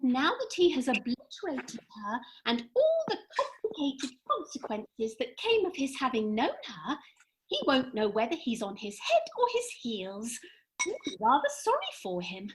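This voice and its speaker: A woman's voice: high voice, huge pitch swings, measured pace, full character voice, poor audio. A woman playing an airy, matter-of-fact fairy in a comic radio play, breezy and arch, recorded over a home video call. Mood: amused, pitying.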